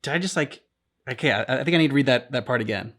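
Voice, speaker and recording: A man's voice: mid-range voice, animated, very fast, fairly bright tone. A man co-hosting a reaction show, talking casually in loose, hesitant phrases. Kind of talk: casual discussion of game cutscenes.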